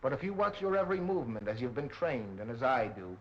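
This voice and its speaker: A stern villain heading a spy ring in a 1930s thriller. He gives orders and rebukes in a commanding, deliberate, mid-pitched voice on a dull old soundtrack. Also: big pitch swings. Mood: cautioning. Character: stern, menacing spy ringleader scolding underlings. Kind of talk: 1930s film villain acting.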